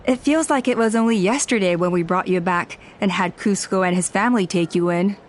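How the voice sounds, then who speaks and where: A woman's voice: medium pitch, a little hiss or hum, close to an everyday voice. Humble older woman elder played by an actress. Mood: nostalgic, fond. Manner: modest, wistful, measured. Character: humble older woman tribe elder, kindly veteran flier. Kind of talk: video game voice acting.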